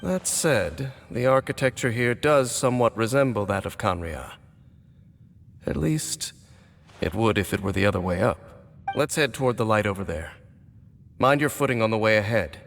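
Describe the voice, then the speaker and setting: A grave, low-voiced ancient knight. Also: male voice, expressive, gravelly, fairly bright tone, in a large hall, soft background music. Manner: measured, solemn, composed. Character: grave ancient male knight, calm and knowing. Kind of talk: video game voice acting.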